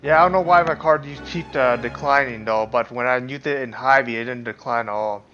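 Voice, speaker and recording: A man's voice: mid-range voice, a little fast, pushed and bright. A man livestreaming a game, chatting casually and commenting as he plays. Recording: dull lo-fi mic, faint game music.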